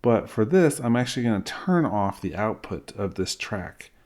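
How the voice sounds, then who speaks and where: A man's voice: fairly low pitch, very animated, moderate pace, music in the background. A middle-aged man giving an informal screen-recorded tutorial, explaining things step by step as an instructor. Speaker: music software instructor.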